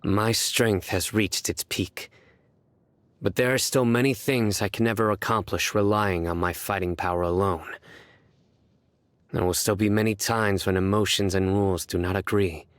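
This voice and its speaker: An actor playing a stern, earnest young judge and desert warrior in a video game, speaking in a measured, formal, deadpan way. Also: male voice, on the low side, no echo, natural voice. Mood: solemn, resolute. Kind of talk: scripted video game character voice lines.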